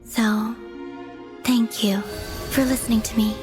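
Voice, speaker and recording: A reserved young woman from a video game, talks in a somewhat high voice. The manner is formal, polite and restrained. Music is clearly heard behind the words. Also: flat delivery, takes it slowly. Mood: wistful, grateful.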